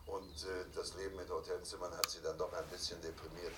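A man's voice: sits low, little pitch movement, quick pace. A man chatting in a rambling, anecdotal way, recorded at a distance in an echoey room on a noisy archive tape. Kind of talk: casual chat, anecdotal.

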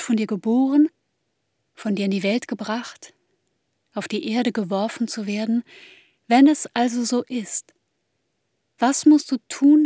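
A woman playing an introspective woman longing for a child, speaking in a measured, hushed radio drama monologue. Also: on the high side, no room sound, studio quality. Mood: yearning, wondering. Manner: measured, hushed, addressing the listener as "you".

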